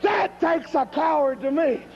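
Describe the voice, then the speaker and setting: Shrill, shouting wrestler. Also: male voice, unhurried, faint music behind. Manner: loud, taunting, boastful, repetitive. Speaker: wrestler cutting a promo. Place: TV wrestling studio with live crowd. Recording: dull TV audio, crowd noise.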